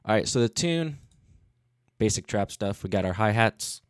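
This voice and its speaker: A man's voice: fairly low pitch, expressive, moderate pace, clear, resonant tone. A man hosting a casual livestream tutorial as a music producer, talking in a laid-back, slangy way into a studio microphone.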